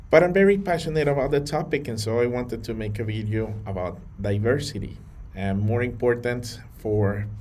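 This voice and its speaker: Guy vlogging to camera, earnest, measured, some uhs, bit of faint room hiss. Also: mid-range voice, a little mellow. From Colombia. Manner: earnest, measured, with fillers and restarts. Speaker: vlogger on data careers. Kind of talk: to-camera talk.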